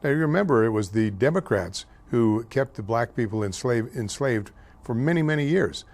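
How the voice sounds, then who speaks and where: An older man hosting a local talk show, speaking haltingly with many fillers and slips over names, louder and more heated on politics. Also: mid-range voice, lively intonation. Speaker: host.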